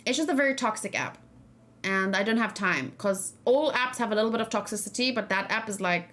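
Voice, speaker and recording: A woman's voice: medium pitch, expressive, a little fast, fairly bright tone. A woman streamer talking casually about a game, musing informally and thinking aloud as she goes. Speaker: streamer and reaction host. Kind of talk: casual livestream game discussion.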